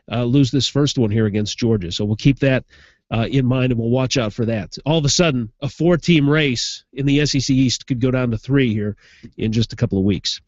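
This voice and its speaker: A man, stuffed up from a sinus infection, giving a casual football recap as a sports radio host who gets animated when something amuses him. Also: mid-range voice, dry recording, dull audio.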